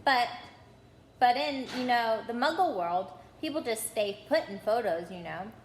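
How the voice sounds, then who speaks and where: A curious young boy wizard talks in an easy, plain way, as a woman reads his lines in a storybook. The voice is fairly high and carries a roomy echo. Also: animated, measured pace, slight background noise.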